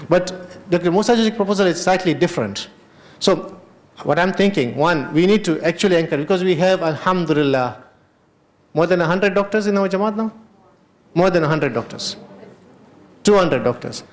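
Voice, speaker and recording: A middle-aged man with a Kenyan Memon accent and a fairly high voice, chatting loosely as he moderates a health panel. He holds a handheld mic in a large hotel conference hall and switches between English and Kutchi phrases. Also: expressive, fairly quick. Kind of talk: unscripted panel discussion.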